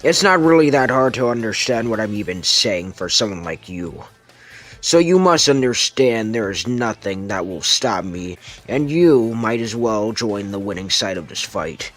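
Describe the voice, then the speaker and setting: A man voicing a brutal villain boss in an amateur comic dub, threatening, pompous and deliberate. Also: on the low side, expressive, fairly bright tone, faint music behind. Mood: menacing. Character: menacing brutal villain boss interrogating a captive.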